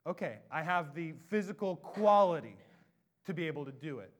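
An American man delivers an expository sermon in a slightly high voice. He swings between low, offhand asides and booming, drawn-out lines of emphasis. His voice is big, and he sounds like he has no inside voice. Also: animated. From Bellingham, Washington, USA. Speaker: pastor.

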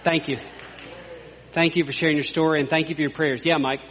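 A man's voice: fairly high pitch, level tone, brisk, fairly bright tone, slight background noise. A male pastor leading a sharing time in church, affirming people and joking lightly. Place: church sanctuary. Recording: echoey church hall, dull sound.

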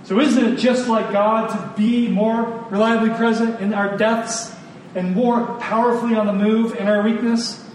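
A high-pitched man delivers an informal, earnest sermon. He repeats phrases for emphasis in a conversational way. The sound is heavily reverberant and picked up from a distance, with clear noise. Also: flat delivery, a little slow, darkish tone. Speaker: preacher. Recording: very echoey room, distant mic, clear noise.

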